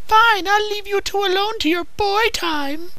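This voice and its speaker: Woman as high-pitched, scornful young female commander. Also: unhurried, sharp tone, dry recording, full character voice. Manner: curt, contemptuous. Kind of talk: anime parody dub.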